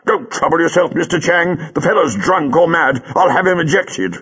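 A man's voice: on the high side, expressive, normal speed, fairly bright tone, slightly rough, highs cut off, pitched above the performer's own voice. A man voicing a pompous Victorian male theatre manager who speaks in a florid, blustering way.

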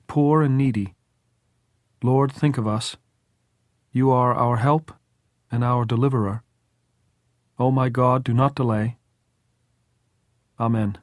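A man playing a solemn mourner reciting scripture in precise, measured, pleading lines for an audiobook. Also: mid-range voice, no room sound, studio quality, voice pushed up, lightly acted. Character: solemn man reciting a psalm over a grave.

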